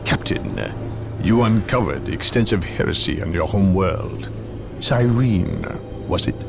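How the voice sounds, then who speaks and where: An actor playing a suspicious, condescending inquisitor in a video game, measured and haughty. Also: male voice, deep voice, expressive, gravelly, over music, heavily acted. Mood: accusing, insinuating. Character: imperious, suspicious male inquisitor, coldly condescending. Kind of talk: dramatic video game cutscene acting.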